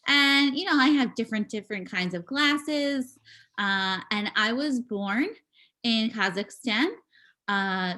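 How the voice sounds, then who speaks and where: A woman giving a live spoken interpretation of a signing performer, speaking in halting bursts with pauses and restarts, over a video call. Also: fairly high pitch, sharp tone. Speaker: sign language voice interpreter.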